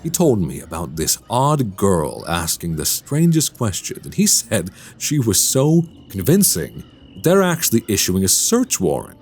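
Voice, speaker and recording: A man acting as a sinister, condescending werewolf in human guise for a radio drama. The low, gravelly voice takes its time and swoops through its phrases with menace, over music. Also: huge pitch swings, unhurried, a little mellow, heavily acted. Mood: quiet menace. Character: sinister soft-spoken man who is secretly a werewolf.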